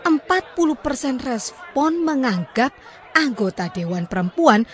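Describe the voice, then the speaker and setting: A woman's voice: sits high, very animated, unhurried. A woman speaking on a discussion panel, presenting points to the group. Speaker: panel speaker reporting a survey. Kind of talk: panel talk.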